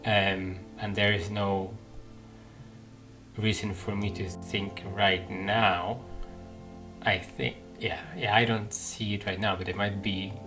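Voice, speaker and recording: A man's voice: lowish voice, little pitch movement, takes it slowly. A man giving casual playthrough commentary, thinking aloud hesitantly as he muses over his choices. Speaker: gaming video host. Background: game music.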